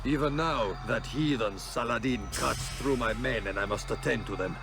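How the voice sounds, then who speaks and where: Man acting a grand, gravelly crusader king. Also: medium pitch, moderate pace, music in the background, natural voice. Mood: cynical, weary. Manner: grand, declamatory. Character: proud crusader king, worldly, cynical, amused. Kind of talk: dramatic video game acting.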